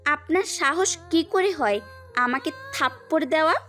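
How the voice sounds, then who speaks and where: Haughty rich young woman who picks fights, high scornful voice, as a woman acts her. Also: lively intonation, unhurried, bright, forceful tone, soft background music, heavily acted.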